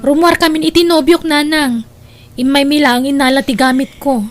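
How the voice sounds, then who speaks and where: A woman's voice: sits high, fairly even intonation, normal speed, soft background music, natural voice. A woman playing a stubborn, insistent young college daughter in a Filipino radio drama. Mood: resolute.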